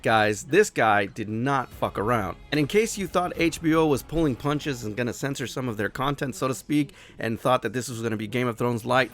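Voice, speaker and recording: Hoarse, enthusiastic man reviewing a show. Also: mid-range voice. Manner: enthusiastic, animated, swears casually. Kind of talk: casual video review.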